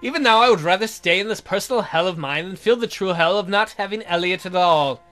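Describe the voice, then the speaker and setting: A man's voice: high-pitched, fairly quick, sharp tone, faint music behind. A man voicing a lovesick, miserable young woman who narrates her romance melodramatically in a visual novel. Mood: wistful, devoted. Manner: melodramatic, pining.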